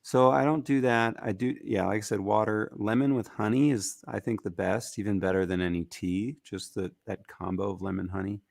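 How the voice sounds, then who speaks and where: A man with a raspy, gritty voice, talking casually as a musician guest in an interview, rambling with fillers. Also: lowish voice, moderate pace, few pauses, no room sound. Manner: relaxed, rambling with fillers and restarts.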